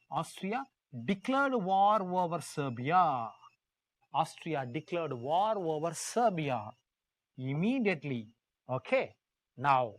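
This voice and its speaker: Male teacher, Indian accent, slightly high pitch, dry sound, emphatic classroom lecture, repetitive phrasing, questioning students. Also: no room sound. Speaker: history teacher. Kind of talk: live classroom lecture told as dramatized story.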